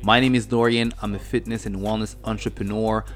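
Earnest young French Canadian man, low voice. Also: level tone, brisk. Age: 20s. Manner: earnest, motivational, many fillers and restarts. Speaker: podcast host. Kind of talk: casual solo podcast monologue.

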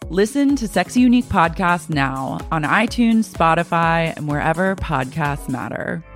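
A woman delivers a scripted podcast advert. Her voice is a little lower than most women's. Music plays behind her. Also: expressive, moderate pace. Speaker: podcast host in a promo.

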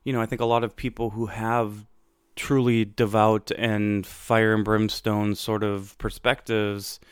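A man's voice: on the low side, clean tone, no room sound, clean studio sound. A young American man hosting a podcast, telling his own story in a reflective, conversational way with frequent fillers and restarts. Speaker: podcast host.

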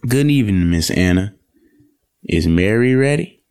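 A man playing a courteous, earnest young gentleman courting his sweetheart in an audio drama. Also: fairly low pitch, expressive, measured pace, clean tone.

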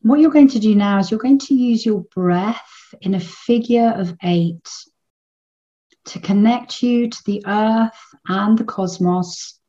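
A woman leading a guided meditation in a soothing, unhurried manner with long pauses between phrases. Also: mid-range voice, mellow, highs cut off.

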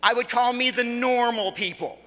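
A man in his late forties gives a loose, joking sermon with a very high voice. His tone is bright and forceful, and he talks conversationally, restarting his sentences often. The sound carries the long reverb of a church hall. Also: moderate pace. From Los Angeles, California. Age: 40s. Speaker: visiting preacher.

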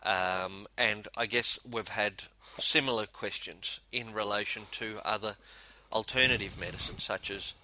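A man's voice: lowish voice, fairly even intonation, measured pace, hardly any pauses, bright, forceful tone, low-quality recording. A man co-hosting a casual podcast, a native Spanish speaker, talking hesitantly with many ums and restarts. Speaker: podcast co-host.

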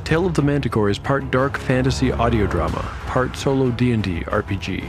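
A man's voice: mid-range voice, brisk. A man narrating a scripted podcast promo as its host, in a dramatic manner. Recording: music bed behind the voice.

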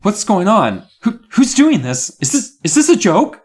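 A man's voice: on the high side, big pitch swings, normal speed, voice pushed up. A man voicing a flustered, apologetic woman who runs an odd business in a storybook. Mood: alarmed disbelief.

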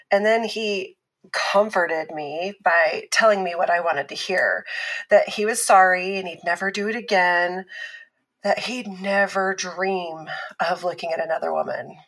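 An actress playing a calm, patient abuse survivor who explains things in a measured, instructive way in a scripted fiction podcast. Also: female voice, lowish voice, heavily acted, rough recording. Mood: frank, pained.

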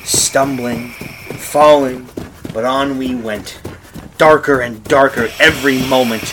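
An actor playing a frightened husband lost in time, in melodramatic old-time radio horror style with intense, urgent delivery. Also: male voice, medium pitch, fairly even intonation, slow, noisy background, close to an everyday voice. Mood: urgent, panicked. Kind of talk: old-time radio horror acting, first-person narration and dialogue.

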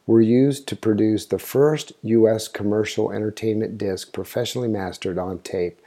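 A man's voice: fairly low pitch, fairly even intonation, moderate pace, mellow. A man hosting a history show, reading a scripted narration in an informative storytelling way, now and then stumbling over a word. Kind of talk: scripted narration, read aloud.

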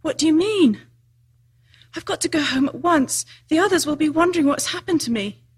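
A woman's voice: very high-pitched, moderate pace, a bit bright, pitched above the performer's own voice. A woman voicing an earnest, anxious young girl from a storybook, kind but insistent, with pleading exclamations. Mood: worried. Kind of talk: storybook character reading.

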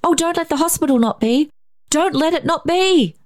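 A woman's voice: fairly high pitch, normal speed, darkish tone, voice pushed up, natural voice. A woman voicing an earnest, pleading little blind boy in a narrated story, with a childlike manner. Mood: distressed pleading. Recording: roomy echo, faint music.

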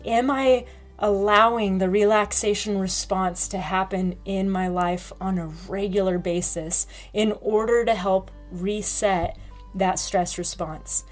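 A woman's voice: mid-range voice, steady tone, measured pace, soft background music. A woman hosting a health podcast, talking frankly in a chatty way with many fillers. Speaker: podcast host. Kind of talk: casual health podcast talk.

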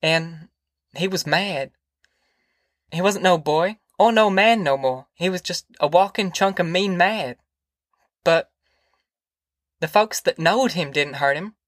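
A man's voice: sits high, slow pace, pauses often, a bit bright, dry recording, clean studio sound, voice pushed up. A man voicing an anxious, tender middle-aged farm mother in an audiobook, with a rural Southern American accent and a hesitant, earnest way of talking. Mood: earnest, pouring out. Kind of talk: audiobook character acting.

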